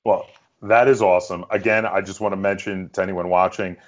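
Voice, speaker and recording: A man with a low-pitched voice hosts an interview. His delivery is conversational, courteous and measured. The audio is a little dull and lo-fi. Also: level tone. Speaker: host of a gaming interview show.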